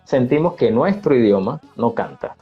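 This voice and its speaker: A man's voice: medium pitch, lively intonation, normal speed, husky. A man, a teacher hosting a live stream who gives practical advice to learners. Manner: advising, reads viewer comments aloud. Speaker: host, Spanish teacher. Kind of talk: casual chat on a live stream. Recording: dull lo-fi stream audio, faint music.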